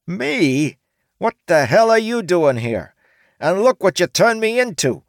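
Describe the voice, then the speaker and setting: A man voicing a brash female orc who used to be a man, loud and bossy. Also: mid-range voice, very animated, slow, dry recording, studio quality, pitched above the performer's own voice. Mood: angry.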